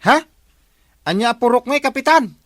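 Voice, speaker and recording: A man's voice: extremely high pitch, normal speed, a bit bright, no room sound. Actor as sly village patrolman, close dry sound. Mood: inquisitive. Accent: Filipino Ilocano. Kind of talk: Ilocano comedy radio drama acting.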